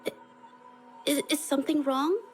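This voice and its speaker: A woman playing an earnest, hesitant young painter in an anime game, speaking haltingly as she stands up against injustice. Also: sits high, fairly even intonation, faint music behind, lightly acted. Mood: nervous but defiant.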